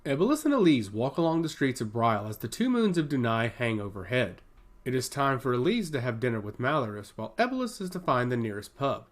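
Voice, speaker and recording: Man narrating with a lively, swooping pitch. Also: fairly quick. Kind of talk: scripted fantasy audio story narration.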